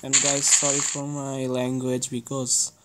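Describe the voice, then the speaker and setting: Slow Bangladeshi gamer, very noisy recording. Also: mid-range voice, completely flat delivery. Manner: halting, non-fluent English. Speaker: gaming video creator. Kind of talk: casual gameplay commentary.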